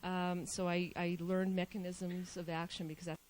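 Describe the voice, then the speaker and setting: Quick, casual woman giving a talk. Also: on the low side, little pitch movement, a little mellow, slight background noise, rough recording. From New Mexico. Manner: quick, informal, frequent ums and restarts. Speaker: panel speaker, organic farmer and doctoral student. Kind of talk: conference talk with slides. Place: conference hall. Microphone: handheld mic.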